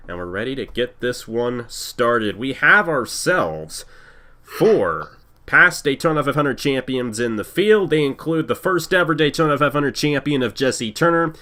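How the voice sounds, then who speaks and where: A man with a slightly higher, bright and forceful voice calls a sim racing event with announcer energy. He sounds congested from a cold, and his delivery rises and falls in a sing-song way. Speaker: play-by-play commentator. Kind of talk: sim racing broadcast commentary.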